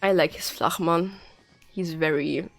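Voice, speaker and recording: A woman's voice: low voice, fairly even intonation, a little slow, gravelly, music in the background. A woman livestreaming a game with casual, informal chat and commentary, her nose congested and her voice slightly nasal. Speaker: game streamer.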